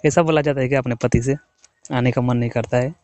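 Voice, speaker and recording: A man's voice: mid-range voice, fairly even intonation, fast talker, a little mellow. A young man from the of India, chatting casually with his wife, teasing and coaxing. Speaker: husband working away from home. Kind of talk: casual phone chat.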